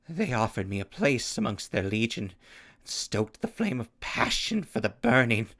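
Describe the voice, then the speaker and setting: A man's voice: on the low side, fairly bright tone, heavily acted. A man playing a volatile pyromaniac clown soldier in an audio drama, with grandiose, florid speech and swooping outbursts.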